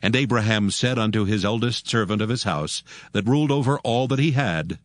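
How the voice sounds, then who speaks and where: Male narrator for dramatized scripture: fairly deep, measured, formal, recorded close and dry. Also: dry recording.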